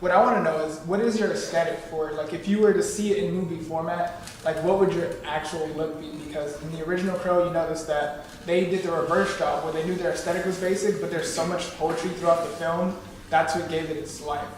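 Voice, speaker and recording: Higher-voiced man in a very echoey room. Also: fairly even intonation, fairly quick. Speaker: audience member asking a question. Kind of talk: casual question. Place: indoor room at a table read event. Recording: distant, very echoey, faintly noisy room.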